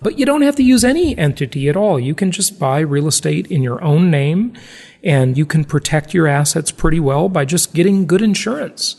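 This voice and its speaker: A man's voice: on the high side, animated, normal speed, gravelly, a little mellow, big-room sound. An American man hosting a podcast in a conversational, salesy way, repeating phrases for emphasis. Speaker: podcast host, real estate investing.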